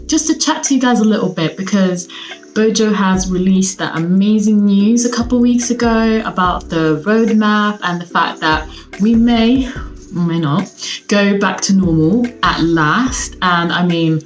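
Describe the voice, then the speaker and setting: A woman's voice: mid-range voice, low-quality recording. A young woman from Sweden, chatting casually and cheerfully to her viewers from home. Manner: chatty, upbeat, lots of fillers. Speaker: vlogger. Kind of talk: casual chat to camera. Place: at home, seated.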